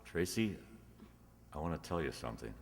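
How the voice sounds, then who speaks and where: Man playing a very deep, halting suitor. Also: very animated. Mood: ardent. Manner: halting, hesitant. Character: smitten, awkward, sheepish man, tongue-tied suitor. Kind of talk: 1940s radio romantic comedy acting. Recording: echoey hall stage recording.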